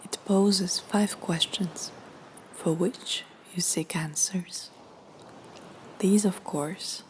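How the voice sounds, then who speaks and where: A woman reading a scripted guided meditation in a soft, breathy, near-whispered voice with pauses. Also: on the low side, a little hiss or hum.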